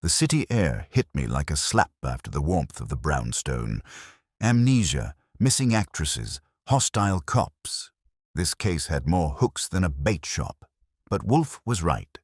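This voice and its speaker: Male audiobook narrator: very deep, lively inflection, dry close sound, wry hardboiled noir storytelling. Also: unhurried, breathy edge, no echo. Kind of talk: scripted first-person detective story narration.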